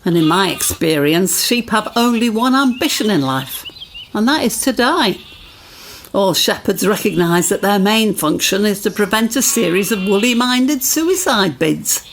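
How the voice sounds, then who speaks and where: A woman playing a dry, weary sheep farmer, wry and deadpan in a comic radio monologue. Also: medium pitch, unhurried, a little hiss or hum. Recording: music behind the voice.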